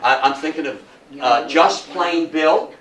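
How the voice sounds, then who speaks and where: Higher-voiced older man lecturing in an echoey room. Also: unhurried. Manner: animated storytelling, repeats phrases for emphasis, checks in with "okay". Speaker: lecturer at a historical society talk. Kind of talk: informal lecture to an audience. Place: indoor talk room with audience. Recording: echoey room, distant mic, background noise.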